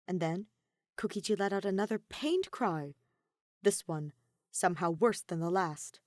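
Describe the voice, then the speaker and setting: A woman's voice: fairly low pitch, a little mellow, no echo, clean studio sound. A woman reading a story aloud as scripted narration, like an audiobook. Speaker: fan fiction audiobook reader.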